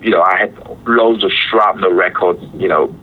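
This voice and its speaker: A man's voice: low-pitched, normal speed, slight background noise. A man from Hong Kong whose first language is Cantonese, a guitarist chatting casually as a guest in a phone interview, heard over a phone line. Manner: relaxed, chatty, laughs easily. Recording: phone-line call audio.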